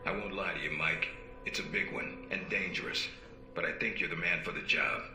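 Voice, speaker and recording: An actor playing a stern, dry-witted middle-aged spy agency handler in a video game, measured and authoritative. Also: male voice, low voice, steady tone. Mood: grave, encouraging. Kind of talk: video game cutscene acting. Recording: roomy sound with music behind.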